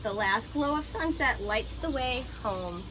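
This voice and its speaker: A woman reading a children's picture book aloud like a teacher, with expressive, instructive delivery. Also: fairly high pitch, a little slow, background noise. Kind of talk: children's storybook read-aloud with explanatory asides.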